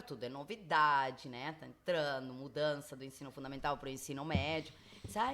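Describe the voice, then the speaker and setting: A woman with a Spanish accent, a teacher talking in a measured, explanatory way on a school podcast, with many fillers. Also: deep voice, creaky.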